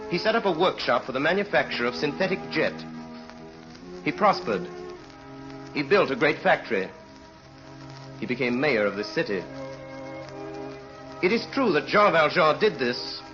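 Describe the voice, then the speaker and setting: An actor as a solemn storyteller, narrating a vintage radio drama in a measured, dramatic way. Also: male voice, mid-range voice, natural voice. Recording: muffled old radio recording.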